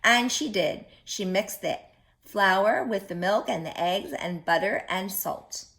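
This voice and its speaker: A woman reads a children's storybook aloud at a slow, deliberate pace. Her pitch sits in the middle range, and she stops to ask her young listeners questions. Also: fairly bright tone.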